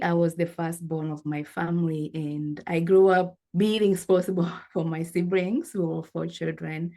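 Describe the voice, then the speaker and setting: A woman's voice: lowish voice, warm tone. A woman in her forties from Rwanda, telling her life story as an interview guest in an earnest, reflective way. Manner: earnest, reflective, pausing with fillers.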